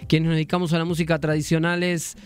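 A man's voice: fairly high pitch, level tone, quick pace, clean tone, faint music behind. A man from Argentina, a musician guest on a radio show, talking reflectively and searching for words as he goes. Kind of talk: radio interview.

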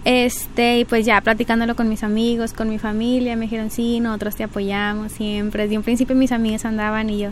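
A woman's voice: a little high, level tone, brisk, few pauses, slight background noise. A woman, answering interview questions politely and a bit hesitantly, with frequent filler words. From Mexico. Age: 20s. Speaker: pageant candidate.

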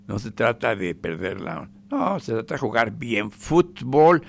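Mexican man, radio commentary, sarcastic, very gravelly voice, mid pitch. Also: sing-song, a little slow. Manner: emphatic, repetitive, drops to whispers.

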